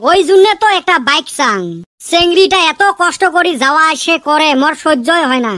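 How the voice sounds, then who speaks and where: A woman's voice: very high-pitched, a little fast, bright, forceful tone, slight background noise. A woman voicing a hesitant, wheedling college student in a comedy cartoon, with a Rajbongshi accent.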